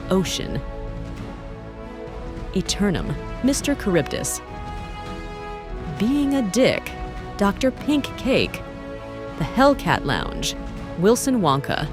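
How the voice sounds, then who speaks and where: An announcer reading out lists of award nominees slowly. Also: mid-range voice. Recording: music underneath.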